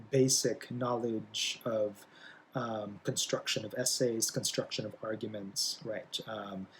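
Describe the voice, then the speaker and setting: A young man talks through a class introduction at a mid-range pitch. He hesitates often, fills gaps with "um" and keeps checking in with "right?". Faint noise hums behind his voice. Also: poor audio. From Philippines. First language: Bisaya. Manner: hesitant, many fillers, frequent tag "right?". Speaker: college English instructor. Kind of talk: casual recorded class introduction.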